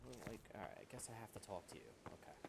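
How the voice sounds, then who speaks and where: A man's voice: on the low side, animated, quick pace, gravelly, slight background noise. A man giving casual, rambling, joking commentary as he plays a video game. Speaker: gamer, let's play host.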